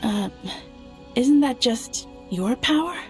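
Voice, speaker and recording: Cool, pragmatic goddess. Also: female voice, fairly high pitch, lively intonation, slow pace, a little mellow, faint music behind. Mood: cool, skeptical. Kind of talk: anime game cutscene acting.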